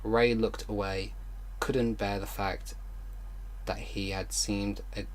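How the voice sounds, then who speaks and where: A man hosting an online video, reading fan fiction aloud haltingly with exasperated, disbelieving commentary. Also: on the low side, little pitch movement, slight background noise, poor audio.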